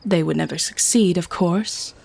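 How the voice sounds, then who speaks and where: A woman's voice: fairly low pitch, normal speed, creaky, a little mellow. A woman playing a haughty, teasing vampire who keeps prisoners, in an ASMR-style audio drama. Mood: smug, matter-of-fact. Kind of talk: ASMR audio roleplay acting.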